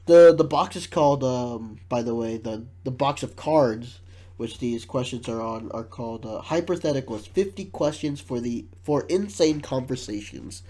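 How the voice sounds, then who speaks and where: A man's voice: mid-range voice, normal speed, mellow. Man, nasal, congested, casual podcast host, jokey, reads aloud. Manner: jokey, laughs often, reads questions aloud. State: congested, sick.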